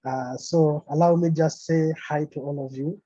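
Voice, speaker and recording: A man's voice: fairly high pitch, fairly even intonation, normal speed, dark tone. A man from Uganda giving an online conference presentation, talking hesitantly with many fillers over a video call. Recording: dull, lo-fi call audio.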